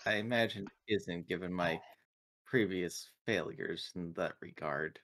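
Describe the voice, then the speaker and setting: A man voicing a formal, literal, loyal android aide with stilted, deliberate phrasing. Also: deep voice, fairly bright tone. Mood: measured, formal.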